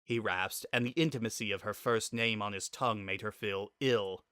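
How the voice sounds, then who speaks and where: A man narrating a scripted story in a dramatic, expressive and deliberate way. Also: on the low side, clear, resonant tone, no room sound, clean studio sound.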